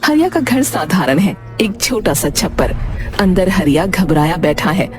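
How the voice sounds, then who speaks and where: A woman's voice: on the low side, lively intonation, unhurried, music in the background. A woman narrating a scripted children's moral story as its narrator.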